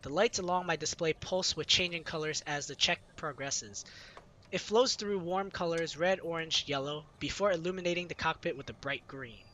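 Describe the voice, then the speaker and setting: A man's voice: fairly high pitch, normal speed, pushed and bright, slight background noise. A man reading a visual novel aloud, narrating in the first person with light laughs. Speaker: let's-play reader.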